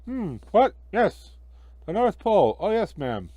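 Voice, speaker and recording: An officious ticket clerk played by a man, high in pitch and fussy. He rattles things off matter-of-factly in a storybook tale, with plenty of melody. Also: very animated, a little slow, dry recording, dull audio, heavily acted. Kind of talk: amateur children's storybook reading.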